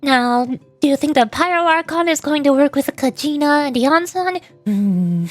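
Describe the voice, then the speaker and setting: High-pitched playful woman streamer. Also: expressive, measured pace, no echo. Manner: playful, chatty, with silly sound effects. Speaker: streamer playing a video game. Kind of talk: casual livestream game commentary. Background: game music.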